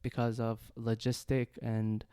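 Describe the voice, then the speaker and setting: Easygoing man with a smooth voice. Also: medium pitch, monotone, mellow, no echo, studio quality. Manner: easygoing, laughs often, measured answers. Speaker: podcast co-host. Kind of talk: casual chat.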